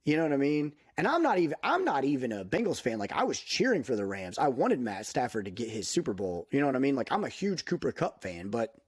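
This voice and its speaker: Casual male podcast guest, mid pitch, dry close sound, animated and chatty. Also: quick pace, few pauses, breathy edge, no echo. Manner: animated, laughs often, many fillers like "dude". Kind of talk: casual chat.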